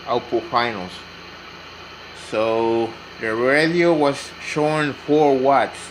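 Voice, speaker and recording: A man talks through a hands-on demonstration in a mid-range voice. He is plain and instructive, often restating a step. A light, steady hum of room noise sits behind his words. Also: medium pitch, rough recording. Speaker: radio repair hobbyist demonstrating. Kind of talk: informal hands-on tutorial narration.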